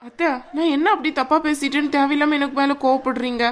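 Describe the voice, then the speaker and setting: Argumentative young aunt, high-pitched and rapid, played by a woman. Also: fairly even intonation, close to an everyday voice. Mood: arguing. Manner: argumentative, quick. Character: quick-talking young aunt, teasing.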